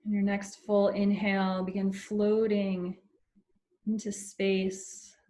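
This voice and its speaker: A woman leading a guided meditation in a soothing, unhurried way with long pauses between phrases. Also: medium pitch, flat delivery, warm tone.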